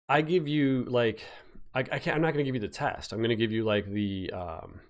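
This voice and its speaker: A man who is a physics teacher, giving a casual live-streamed exam review while stuffy from a cold, rambling with fillers and restarts. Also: on the low side. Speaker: high school physics teacher. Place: home, recording a YouTube live stream.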